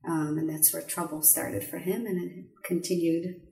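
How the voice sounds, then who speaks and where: Studio guest, woman, from Bulgaria; low voice, measured delivery; roomy, off-mic sound. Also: level tone, dark tone, far from the mic. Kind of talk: telling her life story in an interview.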